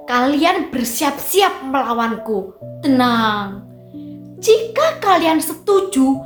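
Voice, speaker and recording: A woman's voice: high voice, exaggerated voice. A woman doing a greedy, taunting stranger man. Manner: taunting and boastful. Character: greedy boastful male stranger grabbing land. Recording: roomy echo, faint music.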